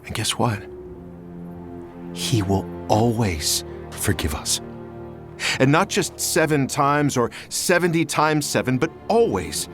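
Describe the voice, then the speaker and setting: A gentle, consoling John played by a man speaks in an audio drama. He talks slowly and softly, and his pitch rises and falls widely. Light music plays underneath. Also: very animated. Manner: soft, soothing, hushed.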